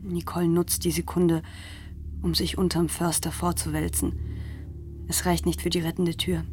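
A woman with a fairly low voice narrates a radio play. Her delivery is scripted and dramatic, with music behind her. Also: level tone, moderate pace, darkish tone.

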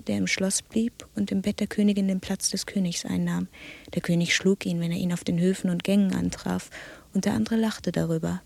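Solemn fairytale teller in radio play, hushed breathy creaky voice, even and detached. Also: female voice, medium pitch, no echo. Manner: measured, detached storytelling. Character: subdued, solemn woman telling a dark fairy tale. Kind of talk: literary storytelling in German radio drama.

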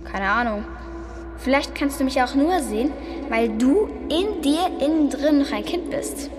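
Self-assured little witch girl, high voice. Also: animated, unhurried, background noise. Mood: matter-of-fact, mysterious. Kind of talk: German radio drama acting.

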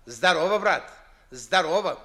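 A man's voice: sits high, expressive, a little slow, sharp tone, full character voice. An actor playing an older, fussy, grumbling and suspicious father, blustering theatrically in 1950s Russian radio verse. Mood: surprised, jovial. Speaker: stage actor.

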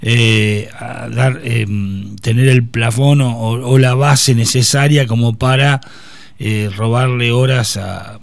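Man in his fifties, halting Argentine speech. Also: mid-range voice, steady tone, creaky, dry recording. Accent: Argentine Spanish. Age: 50s. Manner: hesitant, many restarts. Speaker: guest. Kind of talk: casual interview.

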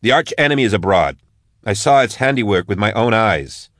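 A man reading a blunt, defiant female marshal, his voice pitched up and pushed louder. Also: expressive, brisk, no room sound, studio quality.

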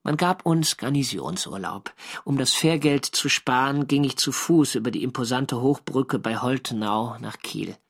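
An actor playing a wry First World War sailor narrating his war diary in measured, clearly articulated German radio drama style. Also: mid-range voice, expressive, a bit bright, exaggerated voice. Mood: dry recounting.

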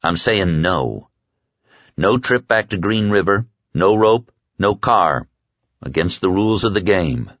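A man's voice: low voice, expressive, unhurried, fairly bright tone, no room sound, muffled recording, exaggerated voice. A man voicing an injured, stoical man in an audiobook, plain-spoken and commanding as he orders friends onward. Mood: resolute.

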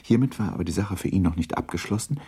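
An actor as a measured, gently ironic narrator of a literary radio drama. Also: male voice, low voice, expressive, breathy edge, full character voice.

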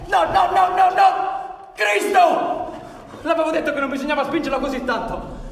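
A man's voice: very high-pitched, moderate pace, vocal fry. An actor playing an earnest, agitated outlaw in a radio drama, urgent and insistent, repeating his words. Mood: agitated, angry. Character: earnest, agitated man, an outlaw on the run urging escape. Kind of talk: live radio drama acting in Italian. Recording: echoey hall with loud music.

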